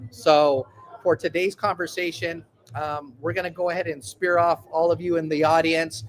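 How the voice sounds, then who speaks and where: A man hosts a live online masterclass with an upbeat, announcer-like delivery. His voice sits a little high for a man. It sounds like a computer mic on a video call. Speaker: host. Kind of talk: live webinar introduction.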